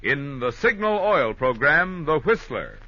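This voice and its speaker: A male announcer from vintage sponsored radio delivers scripted lines with showman flair. His voice is forceful and bright, with lively rises and drops, over a dull, slightly noisy old recording. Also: on the high side, a little slow. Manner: emphatic, dramatic showman delivery.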